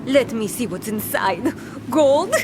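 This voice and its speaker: An actress playing a bold, ambitious pirate captain in an animated adventure, with a swaggering, sardonic and theatrical delivery. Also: female voice, a little high, slow, loud music behind the voice. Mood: excited, greedy.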